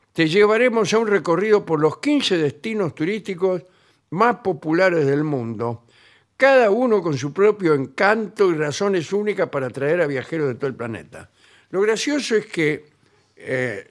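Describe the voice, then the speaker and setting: An Argentine-accented man hosts a comedy show, reading a tourism report aloud. He breaks in with deadpan, sarcastic remarks. His voice sits a little high. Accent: Rioplatense Spanish. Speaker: comedy host.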